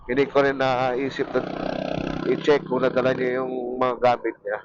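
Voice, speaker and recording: A man with a Filipino accent narrating a casual motorcycle vlog to viewers, thinking aloud in a halting way as he rides. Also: medium pitch, little pitch movement. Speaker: motorcycle vlogger. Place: outdoors, riding a motorcycle. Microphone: small mic near the mouth inside helmet. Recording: muffled helmet mic with wind noise.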